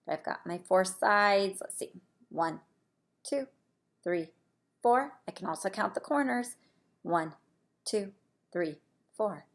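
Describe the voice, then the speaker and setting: A woman's voice: mid-range voice, lively intonation, slow pace, long pauses. A woman preschool teacher leading young children through a simple lesson, explaining step by step and asking encouraging questions. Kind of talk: read-aloud and shape activity lesson for young children.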